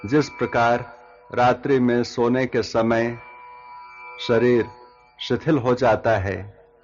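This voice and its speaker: Slow-paced man guiding yoga nidra. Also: mid-range voice, lo-fi sound. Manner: measured, instructive, with long pauses between short phrases. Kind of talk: guided relaxation meditation instruction. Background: background music.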